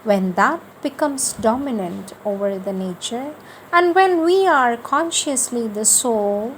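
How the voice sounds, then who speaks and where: A woman reading spiritual writings aloud in a devotional, scripted style, with measured, deliberate phrasing and pauses. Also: a little high, animated, slight background noise, rough recording. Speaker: reader of spiritual texts.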